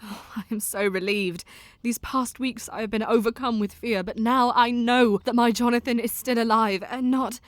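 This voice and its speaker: A woman's voice: on the high side, fairly even intonation, moderate pace, hardly any pauses, no room sound, natural voice. A woman voicing a devoted, self-doubting young woman, earnest and caring, who stammers when nervous. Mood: relieved. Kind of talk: audio drama acting and diary narration.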